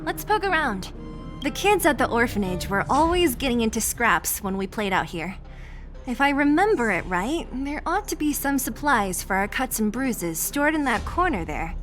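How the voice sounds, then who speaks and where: Scrappy young female game fighter, high voice, lively swinging intonation, blunt, cocky, casual. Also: normal speed, faint music behind. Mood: breezy, confident. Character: brash, scrappy young woman fighter from the underground. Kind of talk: video game voice acting.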